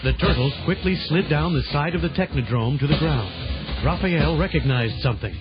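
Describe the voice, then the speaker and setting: An actor as the storyteller, giving dramatic scripted narration for a children's audio drama. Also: male voice, fairly high pitch, animated, a little fast, lightly acted. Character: male storyteller of an adventure tale. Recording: dull lo-fi audio with music.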